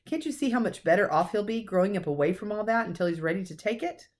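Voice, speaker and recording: A woman's voice: medium pitch, lively intonation, fast talker, voice pushed up, close to an everyday voice. Woman playing old wizard headmaster, wise, composed, kindly, grave, storybook character. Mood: grave, assured.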